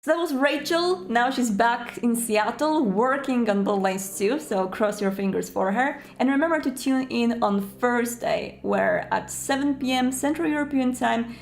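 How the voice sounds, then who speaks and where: Higher-voiced woman presenting as host. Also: very animated, unhurried, few pauses, a little hiss or hum. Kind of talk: presenter sign-off to viewers.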